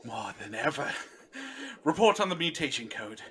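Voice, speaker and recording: A man's voice: mid-range voice, very animated, moderate pace, fairly bright tone, a little hiss or hum. A man playing a cold, ruthless villain scientist with an explosive temper in an audio drama, menacing and commanding.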